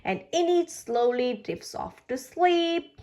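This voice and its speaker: A woman reading a children's storybook aloud for toddlers, with a halting delivery and the odd slip. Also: high voice, big pitch swings, very noisy. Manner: halting reading with slips and pauses. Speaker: children's book reader on a video channel.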